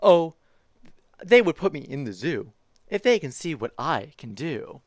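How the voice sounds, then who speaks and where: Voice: mid-range voice, big pitch swings, measured pace, no room sound, pitched above the performer's own voice. A streamer reading a bedtime storybook aloud, giving the spotted creature a slightly dopey voice and speaking his lines in a singsong, rhyming way. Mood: boastful.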